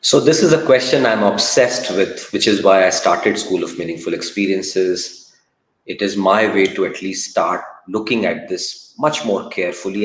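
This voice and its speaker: Roomy echo, man, low-pitched voice, emphatic explanatory panel talk. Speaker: school founder, panelist. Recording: roomy echoing sound.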